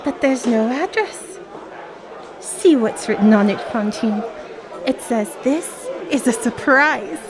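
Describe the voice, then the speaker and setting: A bright young Parisian girl reading a note aloud in mock-formal tones. Her voice sits high and comes slowly and deliberately. A crowd murmurs behind her. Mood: curious. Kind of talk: radio drama acting.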